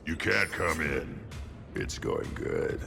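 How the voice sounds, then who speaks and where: Very deep, gravelly alien brute. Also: male voice, very animated, slow, big-room sound, music in the background, full character voice. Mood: gruff, stubborn. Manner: terse, blunt. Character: gruff, blunt young alien brute guarding a door. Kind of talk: video game acting.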